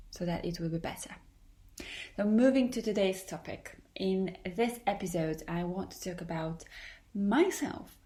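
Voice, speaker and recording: A woman's voice: fairly low pitch, a little mellow. A young adult woman with a Polish accent, an English teacher talking through her solo podcast in a careful, earnest and slightly formal way.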